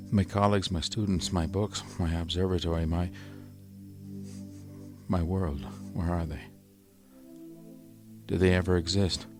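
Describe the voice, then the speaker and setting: Weary haunted professor, real low grave voice, old radio play narration, music behind. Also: male voice. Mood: anguished, bewildered. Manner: grave, halting, brooding. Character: exhausted, haunted male astronomy professor surviving an alien invasion. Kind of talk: 1930s radio drama narration, theatrical monologue.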